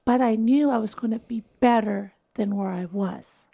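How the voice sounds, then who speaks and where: A woman's voice: fairly high pitch, normal speed, vocal fry, dark tone, breathy edge. A woman in her fifties, born in the Philippines, a wellness doctor talking casually in an interview. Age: 50s. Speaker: anti-aging and wellness doctor.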